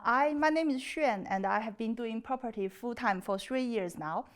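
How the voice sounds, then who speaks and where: A woman in her thirties with a Chinese accent speaks as a contestant making a pitch. Her voice sits slightly high. She is earnest and a little hesitant in her phrasing. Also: moderate pace. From China. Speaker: property developer pitching as a contestant. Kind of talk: personal pitch and chat on a TV show.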